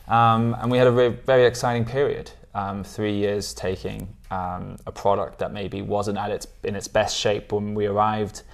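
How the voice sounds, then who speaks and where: Thirties male editor, studio guest; low monotone delivery, thoughtful with hesitations. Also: normal speed, vocal fry, hardly any pauses. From Switzerland. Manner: thoughtful, hedging with ums and restarts. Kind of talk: studio interview. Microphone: lapel.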